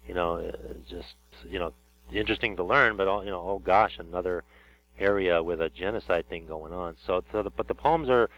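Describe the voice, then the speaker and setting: A man interviewing a guest on the radio, speaking a little low and circling his points with fillers and restarts. The audio is dull and lo-fi, like an old radio broadcast. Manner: rambling, halting, many fillers and restarts. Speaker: interview host. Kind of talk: casual interview.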